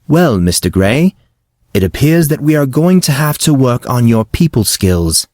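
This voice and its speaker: A man voicing a calm, wryly teasing male school staffer in an audiobook, unruffled and dryly amused. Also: mid-range voice, measured pace, voice pushed up.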